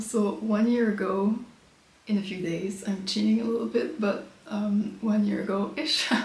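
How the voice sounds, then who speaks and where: A woman hosting a knitting podcast from her apartment, slightly congested from a cold, chatting in a relaxed, casual way. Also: mid-range voice. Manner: relaxed and chatty, with frequent fillers. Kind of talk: casual chat showing knitting. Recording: echoey room with faint background noise.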